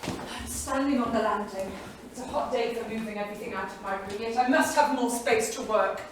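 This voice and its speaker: An actress plays a forthright, restless 1930s woman in a stage drama. Her high voice is emphatic and insistent. It is picked up from a distance in a very echoey space. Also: fairly quick, lightly acted. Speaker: stage actor in a staged reading. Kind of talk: theatrical reading. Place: small theatre studio. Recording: very echoey theatre, distant mic, faint noise.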